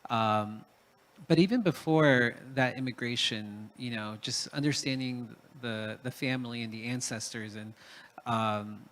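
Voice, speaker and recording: A man's voice: mid-range voice, measured pace, a bit bright. A man from Peru speaking as a panelist in a reflective talk, hesitant, with many fillers and restarts.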